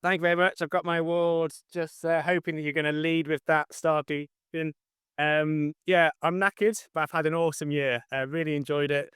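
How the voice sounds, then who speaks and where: A man with a fairly high, clear voice answers questions in a casual interview. His delivery is slightly monotone and matter-of-fact, and the sound is close and dry. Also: fairly quick, dry recording. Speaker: interview guest, estate agent.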